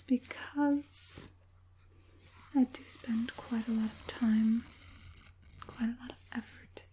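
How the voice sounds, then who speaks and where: Woman playing a slow, whispering evil witch puppet master. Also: a little high, heavily acted. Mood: proud, possessive. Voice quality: breathy whisper with occasional soft voiced words. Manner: unhurried, polite, hushed, deliberate with repeated affirmations. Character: evil witch puppet master, proud, doting, quietly controlling. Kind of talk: whispered ASMR roleplay.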